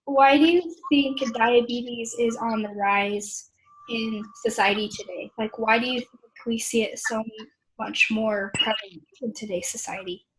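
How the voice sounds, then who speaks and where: A young American woman casually facilitating a small-group discussion, often clearing her throat. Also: fairly high pitch, steady tone, measured pace, a bit bright, slight background noise, rough recording. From Idaho, United States. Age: young adult.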